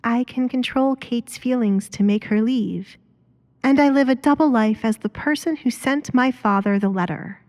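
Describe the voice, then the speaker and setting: A woman's voice: a little high, normal speed, darkish tone, pitched above the performer's own voice, lightly acted. A woman voicing a defiant young noblewoman in an audio drama, coolly composed, sarcastic and pointed.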